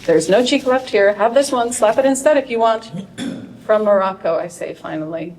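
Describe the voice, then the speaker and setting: A woman reading a translated first-person story aloud at a live literary event, with a dry, wry delivery that flares into sharp outbursts. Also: medium pitch, moderate pace. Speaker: translator reading her work. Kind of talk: expressive reading of translated fiction. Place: event stage before an audience. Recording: distant mic in a large room, faint noise.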